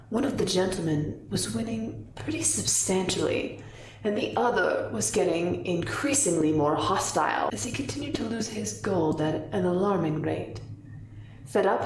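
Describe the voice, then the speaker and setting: A woman voicing a sly, sultry kitsune wizard through an echoing voice filter, narrating a scripted fantasy backstory in a measured way. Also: lowish voice, voice pushed down. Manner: slow, measured storytelling. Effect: voice filter with echo. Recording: echoey, distant, faintly noisy.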